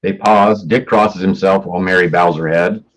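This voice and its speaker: A man's voice: low-pitched, steady tone, moderate pace, a bit bright, rough recording. A man narrating stage directions for a play, reading from a script in the present tense.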